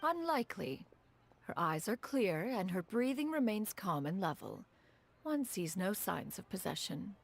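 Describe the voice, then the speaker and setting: A stately, gravelly, formal lady. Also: mid-range voice, very animated, a little slow, exaggerated voice. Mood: composed. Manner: formal and archaic. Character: aloof, stately woman with old-fashioned speech. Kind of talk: game voice acting.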